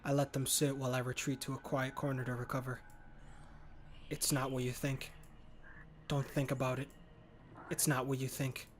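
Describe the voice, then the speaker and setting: A man's voice: medium pitch, flat delivery, normal speed, long pauses, slight background noise. Man narrating a visual novel aloud. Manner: earnest, muttering inner monologue with slips. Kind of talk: first-person story narration.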